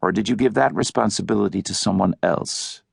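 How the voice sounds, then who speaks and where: A man acts out an elderly lawyer from an audiobook, shrewd and coolly probing. The voice is low and creaky, with formal, deliberate phrasing and a dry, close sound. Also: no room sound, heavily acted.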